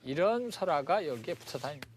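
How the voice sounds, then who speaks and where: A man telling a folk tale as a guide, walking visitors through the grounds of a temple in a casual, animated way. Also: on the high side, fast talker, a little hiss or hum. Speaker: storyteller and guide. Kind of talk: casual storytelling. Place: outdoors at a temple.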